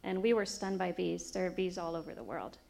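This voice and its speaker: Brief: middle-aged woman raised in Brazil, missionary speaker, gravelly tone, chuckling storyteller. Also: lowish voice, fairly quick. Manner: animated anecdote, chuckling as she tells it. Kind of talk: storytelling to a church group.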